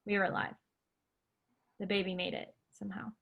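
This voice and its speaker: A woman's voice: medium pitch, flat delivery, brisk, gravelly, narrow, tinny audio. An actress playing a headstrong, wry young woman in a staged play reading. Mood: wry, resolute.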